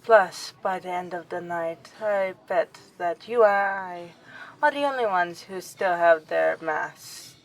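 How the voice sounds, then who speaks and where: A woman's voice: lowish voice, slow. A woman playing a young noble vampire princess in an ASMR roleplay, affectionate and formal in her wording. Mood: confiding. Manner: formal, expressive, aristocratic wording.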